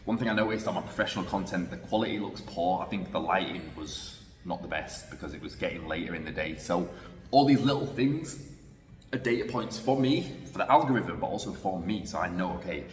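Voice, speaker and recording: A man's voice: low voice, lively intonation, brisk. A man vlogging casually, reflective and thinking aloud with restarts, recorded on a phone. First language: English. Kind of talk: casual vlog talking to camera. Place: roomy space in Hanoi. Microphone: phone camera. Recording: echoey room with long reverb.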